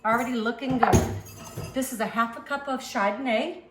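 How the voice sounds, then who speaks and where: A woman's voice: fairly high pitch, steady tone, normal speed, roomy sound, loud music behind the voice. A woman from the Northeast US with a Northeastern accent hosts a cooking channel, chatting casually as she works in her home kitchen. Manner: chatty, upbeat, talks while working.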